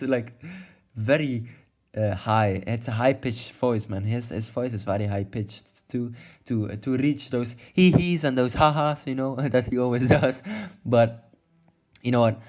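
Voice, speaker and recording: A man's voice: mid-range voice, darkish tone. A young man with a Belgian accent rambling through a casual video commentary, full of fillers and restarts. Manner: rambling, many fillers and restarts, laughs often. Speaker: YouTube commentator. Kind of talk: casual video commentary partly read from notes.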